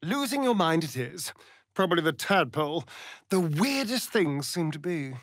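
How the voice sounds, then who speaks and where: A man's voice: on the high side, big pitch swings, normal speed, a bit bright, no room sound, clean studio sound. A male actor playing a sardonic, flirtatious vampire rogue in a video game, with an arch, mocking, theatrical drawl. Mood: amused, teasing. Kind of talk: video game character voice lines.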